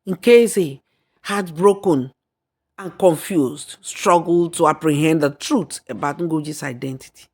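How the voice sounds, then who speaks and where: A woman narrating a folktale in a deliberate, dramatic storyteller's manner. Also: low voice, a little mellow. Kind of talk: scripted folktale narration with acted dialogue and song.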